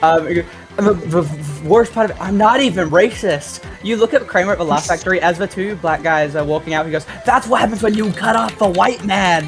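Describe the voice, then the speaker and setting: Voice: medium pitch, fairly quick. A speaker chatting casually over gameplay, retelling things in a rambling way and acting out quotes. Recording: loud game music behind the voice. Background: video game music.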